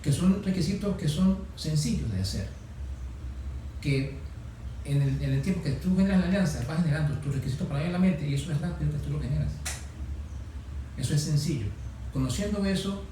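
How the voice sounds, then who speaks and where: A man explaining as an expert guest in an interview, halting and repeating words as he goes. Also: on the high side, animated, mellow. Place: echoey room, away from microphone. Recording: very echoey, distant microphone, noisy background.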